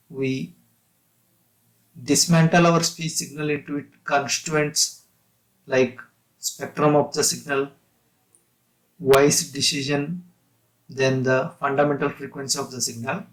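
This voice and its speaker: A man lecturing on technical material in a halting, explanatory way, breaking sentences into short phrases and restating terms. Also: fairly high pitch, rough recording.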